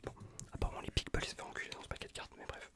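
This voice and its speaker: Man in a hushed ASMR whisper. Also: rapid-fire. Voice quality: breathy unvoiced whisper. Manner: casual, colloquial, reassuring instructions with soft repetition. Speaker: ASMR performer playing an improvised examiner. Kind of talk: whispered ASMR medical exam roleplay. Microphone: close USB condenser microphone.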